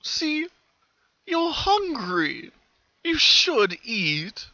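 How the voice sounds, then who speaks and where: A man's voice: very high-pitched, huge pitch swings, drawn-out words, sharp tone, no room sound, voice pushed up, exaggerated voice. A man voicing a cruel young male pony villain in a story reading, gloating with sickly-sweet menace. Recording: dull, lo-fi home recording.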